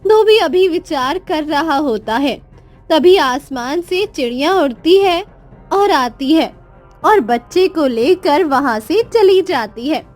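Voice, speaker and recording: A woman's voice: high-pitched, expressive, takes it slowly, a little mellow. A woman narrating a scripted children's story. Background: music.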